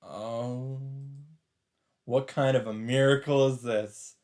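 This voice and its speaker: A man's voice: mid-range voice, fairly even intonation, slow, darkish tone. A man hosting a let's play, reading visual novel narration aloud with brief asides. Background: game music.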